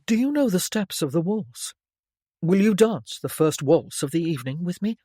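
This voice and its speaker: A woman reading an audiobook, voicing a charming young male viscount in a smooth, dryly teasing way. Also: on the low side, expressive, moderate pace, dark tone, dry recording, clean studio sound. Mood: gallant.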